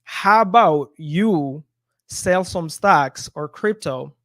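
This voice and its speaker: A man who grew up in Belgium talks as a guest in a casual interview, soft-spoken and quick to laugh, often letting his sentences trail off. His voice sits a little high for a man. The close studio microphone leaves it dry. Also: expressive, no room sound. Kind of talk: casual interview over a video call.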